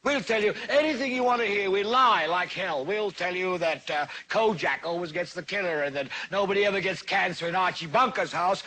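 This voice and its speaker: An actor playing a furious, prophetic TV news anchor, ranting in oratorical, repeating cadences like a zealot in a film monologue. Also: male voice, sits high, a little fast, few pauses, sharp tone, a little hiss or hum. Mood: bitter scorn.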